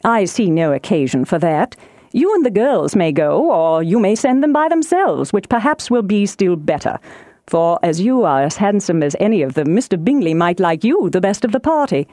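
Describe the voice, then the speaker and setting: Woman reading as a dry, mellow teasing husband. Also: mid-range voice, big pitch swings, normal speed, no room sound, natural voice. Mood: wry, teasing. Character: dry, teasing middle-aged husband and father. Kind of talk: audiobook character voice.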